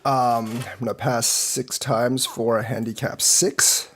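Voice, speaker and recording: A man's voice: medium pitch, level tone, slow pace, fairly bright tone, slight background noise. A man with a slight cold and a slightly congested voice, rambling as he commentates his own board game live and critiques the moves. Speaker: go teacher streamer.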